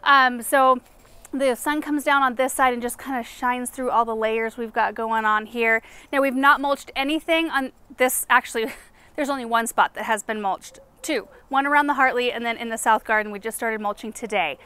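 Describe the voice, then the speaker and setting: A woman's voice: fairly high pitch, moderate pace, sharp tone, smooth. A woman in her thirties hosting a casual walking garden tour outdoors, chatty and enthusiastic as she points out plants. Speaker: garden show host.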